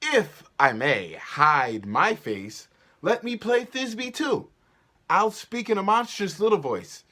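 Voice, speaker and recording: Man playing a boastful, booming amateur actor, swooping delivery. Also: very animated, slow pace, bright, forceful tone, full character voice. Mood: boastful, eager. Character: boastful, eager amateur actor, a weaver, overacting grandly. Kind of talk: theatrical Shakespeare staged reading.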